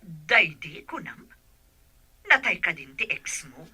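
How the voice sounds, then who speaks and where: A woman playing a nagging, easily offended partner in an Ilocano radio drama, with a husky voice. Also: lowish voice, sing-song, takes it slowly, sharp tone, exaggerated voice. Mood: annoyed, mocking.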